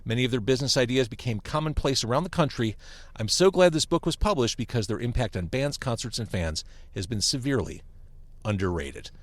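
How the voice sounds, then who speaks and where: A man hosting a podcast in a casual, joking way while sitting in a restaurant. His voice is mid-pitched and moves a lot, and the sound is dry and close. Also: fairly quick, no room sound. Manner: lively, joking, reads a review aloud. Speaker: podcast host. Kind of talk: casual chat.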